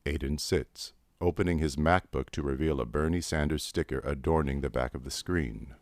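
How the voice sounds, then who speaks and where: A man's voice: very deep voice, moderate pace. A man reading stage directions as scripted narration for a play.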